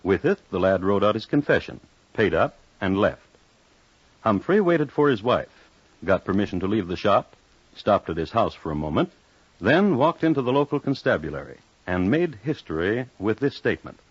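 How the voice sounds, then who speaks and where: A man's voice: on the low side, sing-song, normal speed, slightly rough, dry recording. A man narrating a scripted old-time radio crime story in a droll, formal way. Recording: muffled vintage radio recording. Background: dramatic music.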